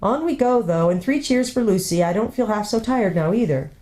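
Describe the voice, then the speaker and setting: A woman voicing a steady eldest boy who leads the others in a storybook reading. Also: mid-range voice, brisk, pitched above the performer's own voice, lightly acted. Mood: brisk.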